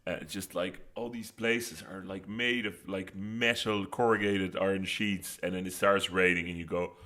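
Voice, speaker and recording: Man, low pitch, forceful bright tone, podcast co-host, playful, close mic. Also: moderate pace. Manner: animated, laughing storyteller. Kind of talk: casual chat. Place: wooden cabin. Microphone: close studio mic.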